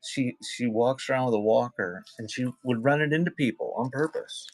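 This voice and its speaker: A man's voice: mid-range voice, moderate pace, no echo, low-quality recording. A man hosting a casual live sale, rambling conversationally between calling out items and chatting with viewers. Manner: conversational, rambling between item call-outs and chat. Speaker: live auction seller and host. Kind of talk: casual livestream selling chat.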